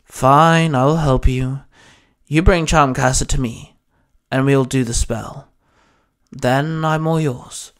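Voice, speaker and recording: A man voicing a stern, stubborn sorcerer under a curse in a narrated story, grunting out drawn-out words. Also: medium pitch, fairly even intonation, clean tone, lightly acted.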